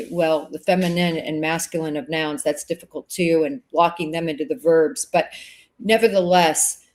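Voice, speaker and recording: A woman hosting an interview, responding to her guest in a deliberate way, slowing down to stress key words. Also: lowish voice, a little mellow. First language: English. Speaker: host. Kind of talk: conversational interview.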